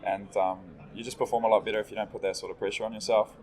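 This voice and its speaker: A young man from New Zealand with a New Zealand accent, a player answering interview questions in a relaxed, agreeable way. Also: on the low side. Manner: laid-back, quick, agreeable. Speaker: esports player. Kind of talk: casual interview.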